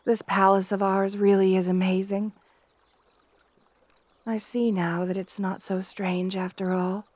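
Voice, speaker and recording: A woman's voice: medium pitch, little pitch movement, unhurried, a little mellow, dry recording, natural voice. A woman playing a shy, devoted young princess in a romantic ASMR roleplay, hesitant and deferential, often stammering. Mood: tender, hopeful.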